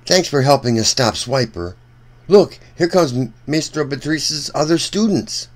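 Male storybook reader, high-pitched, slow, deliberate, speaks straight to kids. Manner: animated, deliberate, addresses young listeners directly. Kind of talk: read-aloud storybook narration for children.

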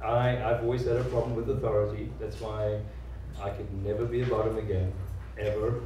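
A man from South Africa in his thirties, a guest telling his life story in an interview, earnest and halting, with many restarts. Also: fairly low pitch, a little mellow, slight background noise. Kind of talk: conversational oral-history interview. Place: large echoey room, seated on stage. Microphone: handheld mic. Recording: roomy hall sound, mic picked up from a distance.

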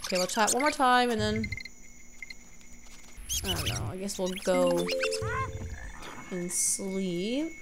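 A woman's voice: mid-range voice, heavy background noise. A young woman commentating a video game casually, congested from allergies, rambling and correcting herself often. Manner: rambling, self-correcting, unsure. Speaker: let's play gamer.

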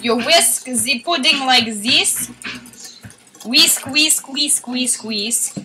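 High-voiced young woman hosting mock cooking show. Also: drawn-out words, rough recording. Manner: silly, mock-formal instructional tone. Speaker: vlogger cooking show host. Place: home kitchen. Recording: home video with loud music behind. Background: rap music playing.

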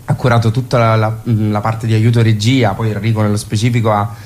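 A man with a fairly low voice speaks as a guest from a theatre company in an interview. He often searches for his words, and there is faint background noise. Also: little pitch movement, brisk, a little mellow. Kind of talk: conversational radio interview.

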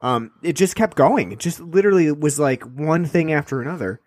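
A man talking on a podcast in a slightly high voice, with a rambling, sarcastic delivery full of fillers. His voice is close and dry, with no room sound behind it. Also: lively intonation, a little fast, darkish tone, dry recording. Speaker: podcast co-host. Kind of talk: casual film review chat.

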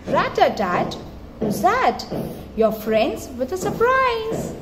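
A woman with a high voice reads a children's picture book at an unhurried pace. Her delivery is expressive and singsong, with encouraging little prompts for a toddler. It is a home phone recording with clear background noise. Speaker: mother reading to her toddler. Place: tiled floor in a room at home.

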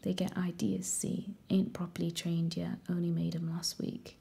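Lady whispering cockney boy, smug and bossy, talks like ur an idiot, low gravelly. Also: flat delivery, normal speed, mellow. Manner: whispered, blunt and condescending. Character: surly boy with pudgy face, smug and bossy.

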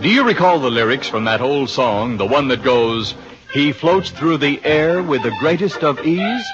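A man's voice: mid-range voice, lively intonation, moderate pace. A man announcing and narrating a scripted 1950s radio drama introduction in a theatrical, folksy way. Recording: muffled 1950s radio recording. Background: organ music.

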